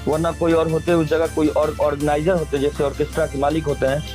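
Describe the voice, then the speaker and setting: A man's voice: a little high, little pitch movement, fast, a little mellow, soft background music. A man with a Bihari accent speaking casually as an interviewee, emphatically airing grievances in colloquial, repetitive phrasing. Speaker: interviewee, singer and stage performer.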